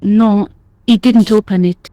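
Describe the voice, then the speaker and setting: A groggy French woman acted out by a female performer grumbles bluntly through adventure game dialogue. Her French-accented voice is mellow and middling in pitch, with a dry, close sound. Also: steady tone, unhurried, dry recording, natural voice. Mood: shaken, frank. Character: blunt, groggy French café waitress who likes a drink.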